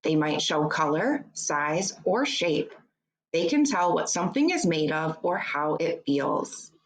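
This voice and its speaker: A woman reads an educational children's book aloud like a teacher, in a deliberate, instructive way, adding short explanations for young pupils. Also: sits low, sing-song, poor audio.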